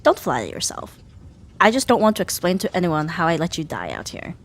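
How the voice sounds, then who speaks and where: A woman playing a sharp-tongued young female rival in an ASMR roleplay, huffy and sarcastic but grudgingly caring. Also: lowish voice, wildly swooping pitch, a little hiss or hum. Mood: grudgingly soft, dismissive. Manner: sarcastic, huffy, theatrical sighs and drawn-out jabs. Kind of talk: ASMR roleplay audio drama addressed to the listener.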